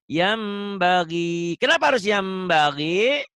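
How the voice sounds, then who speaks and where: A man's voice: high-pitched, big pitch swings, slow, pushed and bright, no room sound, clean studio sound. A man teaching Quran recitation online, patiently drilling pronunciation and laughing often. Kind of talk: online tajwid lesson.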